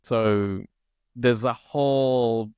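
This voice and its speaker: A man from Italy co-hosting a podcast, chatting casually and thinking out loud, often checking in with "right?". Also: medium pitch, fairly even intonation, very slow, smooth, no room sound, clean studio sound. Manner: thinks aloud, peppers speech with "like" and "right?". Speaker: podcast co-host. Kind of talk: casual conversation.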